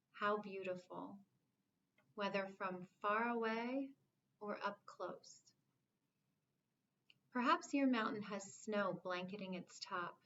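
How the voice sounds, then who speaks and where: Woman meditation teacher, really slow n soothing, lots of pauses, medium pitch, slightly dull audio. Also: slight background noise. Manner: calm, soothing, measured with long pauses. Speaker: guided meditation instructor. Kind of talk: guided meditation.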